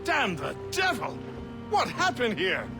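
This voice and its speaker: A man playing an excitable, rough ship's first mate bellowing in a video game. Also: extremely high pitch, a little slow, music in the background, heavily acted. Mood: alarmed.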